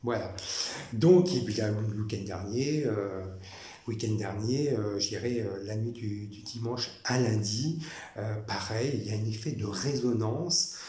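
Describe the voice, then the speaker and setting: Halting man in an echoey room. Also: on the low side, warm tone. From France. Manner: halting, many fillers and restarts, repeats himself. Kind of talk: rambling personal monologue to camera. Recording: echoey room with faint noise.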